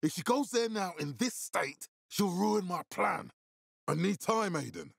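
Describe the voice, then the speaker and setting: A man voicing a grave, calculating militia commander in a video game, with a husky, authoritative and deliberate delivery. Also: sits high, lively intonation, no echo, clean studio sound, heavily acted. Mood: urgent, agitated. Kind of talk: video game character dialogue.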